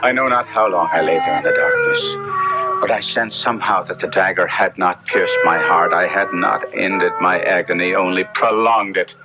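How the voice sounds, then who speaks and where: An actor playing an anguished young cavalier trapped in a monstrous body, narrating melodramatically in a 1970s radio play. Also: male voice, low voice, big pitch swings, moderate pace, highs cut off. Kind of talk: 1970s radio drama first-person narration. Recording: band-limited 1970s radio with dramatic music.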